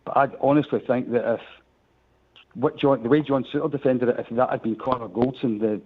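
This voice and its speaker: Brief: Scottish male caller, frank, fast, heard over a phone line. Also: medium pitch, fairly even intonation. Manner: frank, repeats himself as he talks. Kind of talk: phone-in chat.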